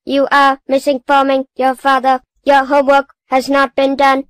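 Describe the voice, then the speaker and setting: A woman's voice: high voice, monotone, normal speed, no room sound, studio quality, close to an everyday voice. A woman voicing a small, literal-minded household robot with a formal, clipped, correcting manner in a children's cartoon. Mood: officious, flat.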